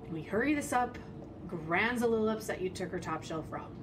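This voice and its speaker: A woman playing a skeptical, sardonic sidekick in a fantasy audio drama, with a deadpan, teasing manner. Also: medium pitch, fairly quick, noisy background, lightly acted. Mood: dry impatient.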